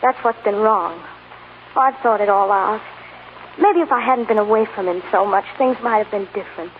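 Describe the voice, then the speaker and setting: An actress playing a tender, self-sacrificing young film star wife in a 1940s radio melodrama, earnest and heartfelt. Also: female voice, mid-range voice, normal speed, lightly acted. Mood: resolute, sorrowful. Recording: noisy, tinny 1940s radio broadcast.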